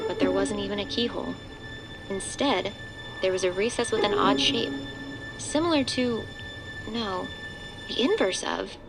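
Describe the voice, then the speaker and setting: A woman's voice: mid-range voice, natural voice, rough recording. A woman reading scripted narration for a horror audio drama. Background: background music.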